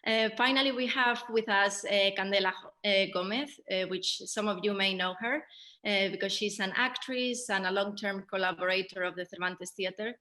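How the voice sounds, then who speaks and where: A woman moderating a post-show theatre Q&A, speaking haltingly as she searches for words. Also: mid-range voice, bright, forceful tone.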